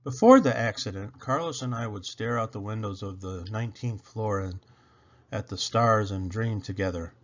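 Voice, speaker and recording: A man reading a children's story aloud with expressive narration, as a storybook reader. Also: fairly low pitch, moderate pace, highs cut off.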